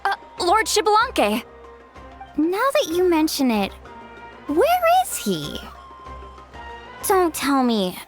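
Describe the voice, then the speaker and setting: A woman's voice: extremely high pitch, wildly swooping pitch, slow pace, pauses often, soft background music, full character voice. A woman voicing a plucky, bubbly teenage girl athlete in a video game, perky and exclamatory. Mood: puzzled. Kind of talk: video game character acting.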